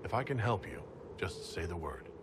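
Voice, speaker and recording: Composed game hero, a big horned leader; low register, lively inflection, polite, noisy ambience. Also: male voice, normal speed. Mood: sympathetic. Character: composed, sympathetic male horned warrior leader. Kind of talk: game protagonist dialogue.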